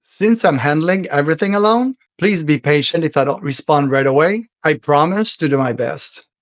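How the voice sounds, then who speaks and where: Earnest French Canadian man, higher voice. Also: no room sound, studio quality. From Quebec, Canada. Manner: earnest and heartfelt, slows down for emphasis. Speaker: podcast host. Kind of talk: solo podcast monologue.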